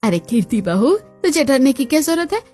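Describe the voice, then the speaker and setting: A woman's voice: high-pitched, very animated, normal speed, dark tone, soft background music. A woman voicing a mocking, gossipy neighbour in a family cartoon, with a taunting manner.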